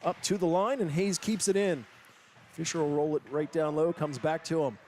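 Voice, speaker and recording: A man calling hockey play-by-play, with quick, excited calls that rise to shouts. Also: fairly high pitch, slight background noise. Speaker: play-by-play announcer. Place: ice rink broadcast booth. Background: loud arena music.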